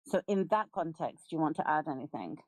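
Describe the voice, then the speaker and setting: Low-voiced British woman host, forceful and lecturing, dry close sound. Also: animated, a little fast, dry recording. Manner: forceful, lecturing, interrupts and scolds. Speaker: live audio space host. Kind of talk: heated debate on a live audio space.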